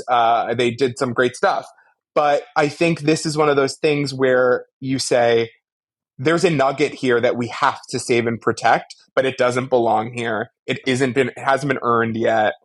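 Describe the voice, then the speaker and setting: A man's voice: mid-range voice, a bit bright. A man co-hosting a podcast, giving casual but articulate critique in long, emphatic explanations. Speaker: podcast co-host. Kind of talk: casual media discussion and critique.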